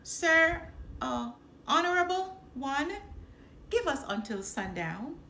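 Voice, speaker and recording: A woman's voice: sits high, sing-song, slow pace, vocal fry, sharp tone, pitched above the performer's own voice, heavily acted. A woman voicing a sly, confident male fox hustler from a storybook, with a glib salesman's manner. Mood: pleading, confident. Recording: roomy echo, some background noise.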